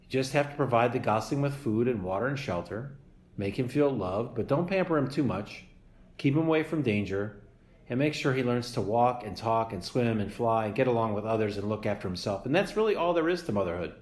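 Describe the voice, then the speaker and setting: A man's voice: mid-range voice, expressive, a little fast, darkish tone, close to an everyday voice. A man doing a squawking old mother goose. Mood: scolding. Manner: squawking, scolding. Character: bossy, blunt old female goose. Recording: roomy echo.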